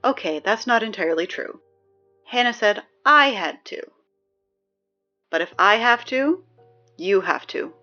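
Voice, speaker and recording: A young woman playing a wry, peppy teenage girl who reads high school announcements in a chatty, sardonic, emphatic way for a scripted audio drama. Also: animated, unhurried, long pauses, bright, forceful tone, lo-fi sound, faint music behind. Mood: peppy, cajoling.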